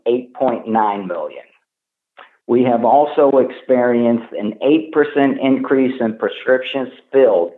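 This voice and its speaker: A man formally reading prepared remarks as a company executive on an earnings call over the phone. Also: mid-range voice. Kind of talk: scripted earnings call presentation. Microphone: telephone conference call. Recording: tinny phone line with echo.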